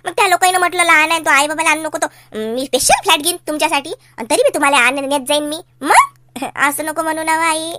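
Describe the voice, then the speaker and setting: A woman's voice: high-pitched, fast talker, pushed and bright, slight background noise. A woman playing a pleading, stubborn young daughter in a cartoon comedy, with a Varhadi Marathi accent and a whiny, argumentative manner. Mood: indignant, coaxing.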